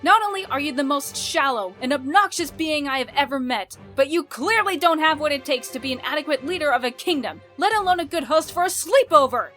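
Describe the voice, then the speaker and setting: Woman acting a haughty high-voiced space princess. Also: animated, a little fast, few pauses, a bit bright, soft background music. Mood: furious. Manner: scolding, articulate, theatrical indignation. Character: haughty, furious young space princess fed up with companions. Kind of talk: animated comic dub acting.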